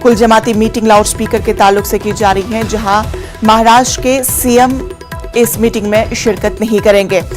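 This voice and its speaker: A woman news anchor presents live in a fairly high voice. Music plays clearly behind her as she delivers the bulletin. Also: steady tone, normal speed.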